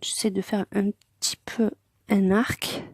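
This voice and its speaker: A woman with a medium-pitched voice talks through a craft tutorial in a dry, echo-free recording. She sounds congested from a cold. Her delivery is informal and hesitant, in short murmured steps while her hands are busy. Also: flat delivery, unhurried, a little mellow, in a whisper. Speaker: colouring tutorial host.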